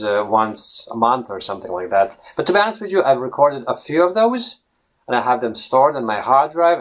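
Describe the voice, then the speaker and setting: A man talks casually to camera about learning English, speaking as a coach to his viewers. He drifts off topic, circles back, and keeps checking in with a quick "right?" or "okay?". He sounds like he is recording in his home office. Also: medium pitch. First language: Latvian. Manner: rambling, veers off topic, tags lines with "right?".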